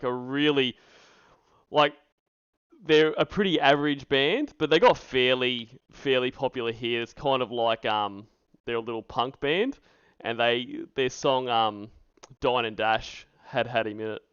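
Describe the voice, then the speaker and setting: A man's voice: medium pitch, a bit bright, clean tone, no echo, highs cut off. An Australian man chatting casually and rambling as he hosts a livestream, with an amused, crude way of joking. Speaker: streamer hosting a reaction stream.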